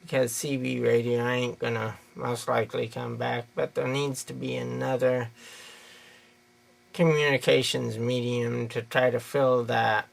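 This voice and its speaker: A man's voice: mid-range voice, level tone, a little slow, gravelly. An American man giving an unscripted opinion monologue in a rambling, folksy, plain-spoken way. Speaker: video creator giving an opinion.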